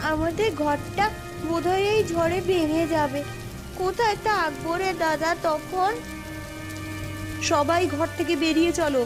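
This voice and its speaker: A woman voicing a frightened village character in a cartoon, with a plaintive, dramatic delivery. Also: very high voice. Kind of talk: scripted cartoon dialogue.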